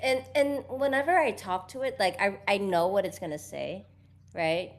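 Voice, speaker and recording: A woman's voice: medium pitch, normal speed. A woman in casual conversation, talking freely with many "like"s, restarts and laughs. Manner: chatty, lots of "like" and restarts, laughs often.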